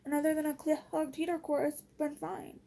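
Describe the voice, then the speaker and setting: A woman's voice: sits high, flat delivery, normal speed, gravelly, dark tone. A woman vlogger venting casually, blunt and exasperated, in slangy everyday speech.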